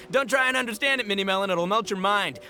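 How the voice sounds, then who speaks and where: An actor playing a brash, boisterous young gang boss in a video game, bombastic, swaggering and full of slang. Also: male voice, high voice, a little fast, pushed and bright, soft background music, exaggerated voice. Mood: boastful. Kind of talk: animated video game voice acting.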